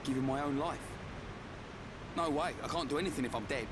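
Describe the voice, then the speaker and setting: An actor playing a brash, loyal young fighter in a game who speaks bluntly and confidently. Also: male voice, medium pitch, fairly quick, background noise, lightly acted.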